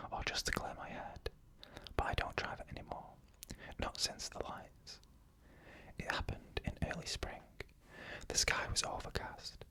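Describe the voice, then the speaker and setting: An unvoiced ASMR whisper with a crisp, bright edge carries slow, eerie story narration. The phrases come short and clipped, hushed right up close.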